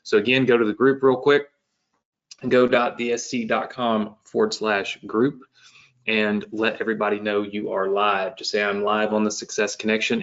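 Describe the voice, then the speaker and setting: A man's voice: on the low side, fairly bright tone, dull audio. A man in his late thirties hosting a live business webinar, talking loosely with many fillers and restarts. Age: 30s. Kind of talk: casual live-stream talk. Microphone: webcam setup at home desk.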